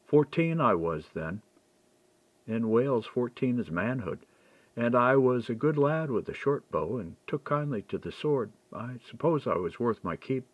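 A man's voice: mid-range voice, very animated, measured pace, no echo. A man reading audiobook dialogue as a seasoned, frank and reflective man-at-arms. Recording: dull, lo-fi dry recording.

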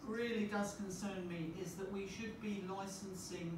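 A woman's voice: medium pitch, steady tone, normal speed. A woman debating firmly as a panel speaker. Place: lecture room, indoors. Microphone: far camera in the audience. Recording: very echoey room, distant, noisy.

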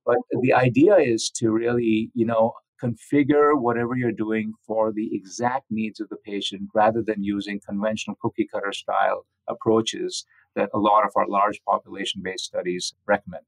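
A man's voice: fairly low pitch, flat delivery, normal speed, creaky, hardly any pauses, dry recording, rough recording. A man speaking as a physician guest in an interview, explaining technical research with enthusiasm.

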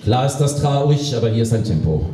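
A man performs a humorous spoken-word text on stage, deadpan, with theatrical pauses and emphasis. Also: medium pitch. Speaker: poetry slam performer. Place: small club stage with live audience. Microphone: stand microphone on stage, picked up by distant camera. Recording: very echoey hall, distant camera microphone, audience.

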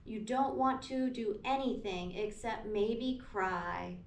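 A woman with a high voice reads a children's picture book very slowly. Her delivery is sing-song and aimed at small children. She is recorded in an echoey classroom. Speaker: preschool teacher reading to children. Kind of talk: picture-book read-aloud for young children. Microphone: camera a few meters away. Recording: echoey room, distant mic, background noise.

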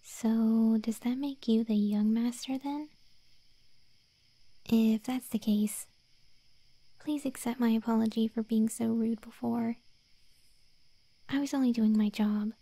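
A woman playing a protective gargoyle monster girl in an ASMR roleplay, speaking softly and breathily, close to the microphone. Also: a little high, fairly even intonation, takes it slowly, pauses often, dry recording. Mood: surprised, apologetic. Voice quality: soft, breathy, whispery. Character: protective gargoyle monster girl guard, stern then sweetly deferential. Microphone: close microphone, dry.

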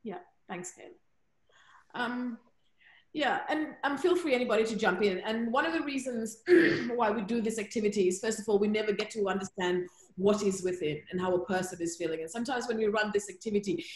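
A woman's voice: medium pitch, a little fast. A woman facilitating an online workshop over a video call, explaining and inviting people to join in. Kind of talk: informal spoken workshop facilitation. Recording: echoey room on video call.

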